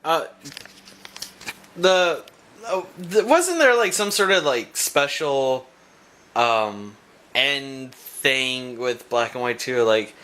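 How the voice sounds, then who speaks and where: Bright-voiced young man rambling casually. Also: medium pitch, lively intonation, takes it slowly, pauses often. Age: young adult. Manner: rambling, loses his train of thought. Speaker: vlogger. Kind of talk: casual chat. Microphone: handheld camera.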